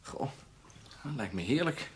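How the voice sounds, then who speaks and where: A man's voice: mid-range voice, sing-song, measured pace, a little hiss or hum. A man playing a chatty, slightly shy architect in a Dutch radio play, with a polite, tentative manner.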